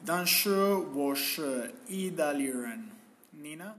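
A man's voice: on the high side, expressive, slow, fairly bright tone, slight background noise. A young man from Italy introducing himself in simple learner phrases, filmed on a phone held at arm's length. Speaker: language student. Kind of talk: casual self-introduction in learner Chinese.

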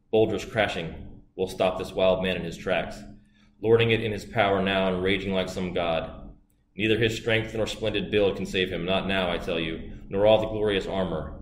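A man voicing a furious, boastful male river god who shouts and pleads in turn. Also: natural voice. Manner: booming, then pleading and desperate. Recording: roomy with faint hiss.

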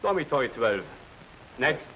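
A man's voice: mid-range voice, big pitch swings, moderate pace, close to an everyday voice. An actor playing a brusque male camp registrar firing off curt intake questions, on a dull 1950s film soundtrack with hiss. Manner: curt, bureaucratic.

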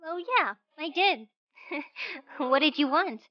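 A woman's voice: high-pitched, animated, slow, no echo, muffled recording. A woman voicing an earnest, easily flustered teenage girl in a manga dub, girlish and sincere. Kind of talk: manga dub character acting.

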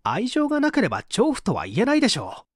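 A man's voice: sits high, huge pitch swings, fast talker, husky, dry recording, studio quality, heavily acted. A man playing an evasive, subdued painter who is under interrogation, hesitant and confessional, in a dramatised mystery reading. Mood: bitter, scornful.

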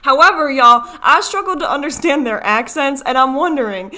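A woman's voice: high voice, lively intonation, normal speed, pushed and bright. A young woman with a Chicago American accent, giving casual reaction commentary in a rambling, self-interrupting way. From Chicago, United States. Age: young adult. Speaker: video host. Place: small furnished room at home. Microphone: desk microphone, seen at frame edge.

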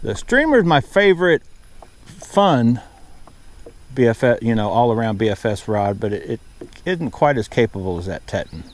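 A middle-aged man chatting casually as he fishes from a small boat on a river, rambling along and getting excited when a fish bites, recorded on an action camera outdoors. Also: mid-range voice, normal speed. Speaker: fishing vlogger. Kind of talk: casual running commentary while fishing. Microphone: body-mounted action camera.

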